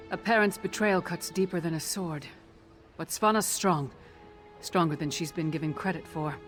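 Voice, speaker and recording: A man's voice: on the high side, fairly bright tone, close to an everyday voice. An actor playing a blunt, dry-humored male warrior companion in a video game, with faint music behind. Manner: blunt, dry, matter-of-fact.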